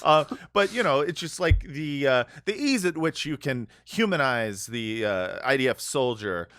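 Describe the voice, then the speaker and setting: A man's voice: medium pitch, lively intonation, a little slow, fairly bright tone, dry recording. A man co-hosting a podcast in casual chat, rambling with lots of fillers and restarts and laughing often. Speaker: podcast co-host.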